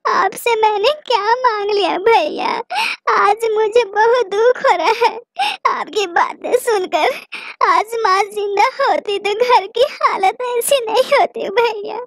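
A woman's voice: very high voice, slow, dry recording, pitched above the performer's own voice, heavily acted. A woman voicing a sad, childlike little-sister bird in a children's cartoon, pleading and plaintive. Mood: tearful, hurt. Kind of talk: Hindi children's cartoon dialogue.

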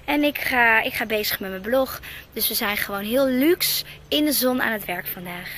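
A woman in her twenties from the Netherlands chatting in a casual vlog, bubbly and excitable, filmed on a handheld camera at arm's length. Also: fairly high pitch, moderate pace. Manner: bubbly, excitable, laughs often. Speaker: vlogger. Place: small car, garden outdoors, living room.

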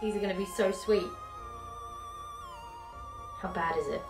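A woman's voice: medium pitch, steady tone, measured pace. A young British woman chatting casually through a home cooking vlog in her kitchen, rambling and poking fun at her own mistakes. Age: young adult. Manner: self-mocking, rambling, chatty. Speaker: cooking vlogger. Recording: echoey kitchen with faint music.

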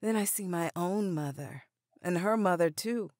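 An actress playing a dignified, sentimental older woman in a radio drama, speaking deliberately. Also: on the low side, a little mellow, no room sound, clean studio sound, natural voice. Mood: warm, reminiscing.

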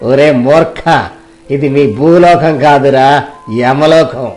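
A man's voice: medium pitch, extremely slow, a little mellow. A man voicing a cruel, gloating demon guard of the underworld in a dramatised moral story, menacing and taunting. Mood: scornful, threatening.

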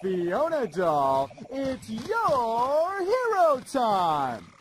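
An actor voicing an excitable, wisecracking talking donkey with an American accent and a chatty, melodramatic manner. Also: male voice, extremely high pitch, extremely slow, a little hiss or hum, heavily acted. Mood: eager. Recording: loud music over the voice. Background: loud game music.

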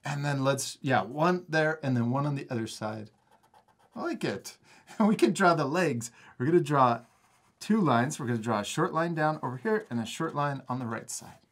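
A man's voice: on the high side, expressive, normal speed. A man hosting a step-by-step drawing lesson for children in a casual, encouraging manner. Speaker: art teacher and host.

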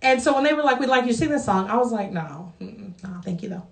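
A woman tells stories to her online audience, laughing often as she goes. Her voice is fairly high and lively, rising and falling a lot, and it rings in an echoey room. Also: fast talker, gravelly. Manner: animated and laughing, storytelling. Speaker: singer and co-host. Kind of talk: casual chat with an online audience.

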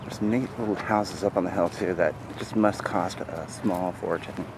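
A man casually narrating as he walks through a waterfront park, talking in a relaxed, offhand way with fillers. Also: low voice, normal speed, creaky, background noise. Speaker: vlogger walking a park. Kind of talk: casual walking commentary. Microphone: handheld camera while walking. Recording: loud outdoor ambience.

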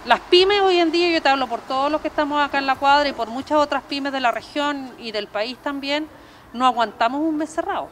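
A woman's voice: high voice, a little fast, a bit bright. A middle-aged woman with a Chilean accent, earnest and emphatic, answering a news interview as a small business owner through a face mask. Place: open-sided restaurant terrace by a street. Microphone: handheld reporter microphone. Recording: outdoor street noise with traffic.

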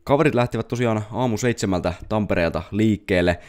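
A young adult man narrating a travel vlog in a casual, conversational way. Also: fairly low pitch. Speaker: vlogger. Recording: steady background noise, vehicle ambience.

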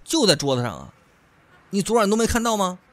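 A man voicing an excitable, nosy young man in a radio drama, chatty and eager. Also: sits high, brisk.

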